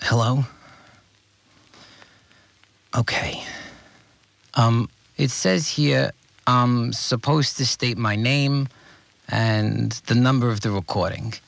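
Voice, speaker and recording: An actor playing an earnest, aggrieved cleaner who keeps an audio diary in a naive, rambling, complaining way. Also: male voice, mid-range voice, fairly even intonation, takes it slowly, vocal fry, no room sound. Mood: hesitant, unsure. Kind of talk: audio drama monologue, conversational recorded log.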